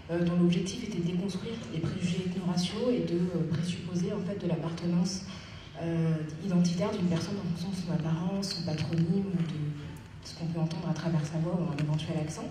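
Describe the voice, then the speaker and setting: A woman with a Parisian accent, a guest speaking in a public debate on a theatre stage, articulate and measured with frequent hesitations. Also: fairly low pitch, steady tone, dark tone, far from the mic, slight background noise. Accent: Parisian French. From Paris, France. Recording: echoey hall, picked up from afar.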